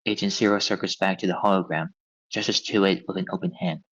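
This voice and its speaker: A man's voice: sits low, steady tone, fast, fairly bright tone, husky. A man narrating stage directions from a script at a table read. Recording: dull, lo-fi audio.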